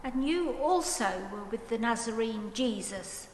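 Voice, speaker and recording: A woman's voice: fairly high pitch, expressive, unhurried, a little mellow. A woman playing a persistent, accusing maidservant in a pointed, declamatory scripture reading. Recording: echoey church, long reverb, faint noise.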